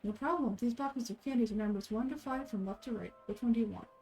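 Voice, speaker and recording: A woman's voice: on the high side, fast talker, a little mellow, natural voice, poor audio. A woman voicing a friendly female candy vendor in a fantasy game, chatty and explanatory. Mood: encouraging.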